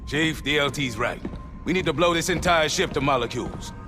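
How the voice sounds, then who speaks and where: An actor playing a seasoned, gruff older sergeant major with blunt military talk in a cinematic game. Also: male voice, on the high side, a little fast, over music, close to an everyday voice. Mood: urgent, resolute.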